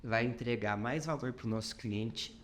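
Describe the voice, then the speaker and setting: A man's voice: medium pitch, measured pace, a little hiss or hum. A man with a Minas Gerais accent, a company founder being interviewed on a podcast, speaking reflectively with many fillers and short clauses. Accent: Minas Gerais Brazilian Portuguese. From Brazil.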